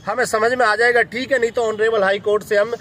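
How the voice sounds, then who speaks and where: High-voiced earnest lawyer outdoors. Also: male voice, fairly even intonation, fast, bright, forceful tone. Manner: earnest, heavy with legal terms. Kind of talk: press interview.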